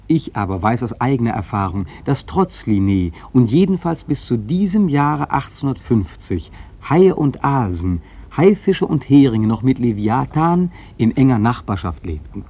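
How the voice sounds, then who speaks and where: A man's voice: mid-range voice, warm tone. An actor playing a seasoned, wry sailor who recounts his whaling voyage in a radio play, musing and emphatic. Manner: musing, rhetorical, emphatic storytelling. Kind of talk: literary radio play narration.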